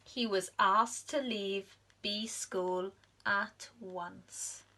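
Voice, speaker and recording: A woman reading a children's story aloud like a primary school teacher, with expressive, dramatic emphasis. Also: medium pitch, very slow. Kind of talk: animated storybook narration for young children.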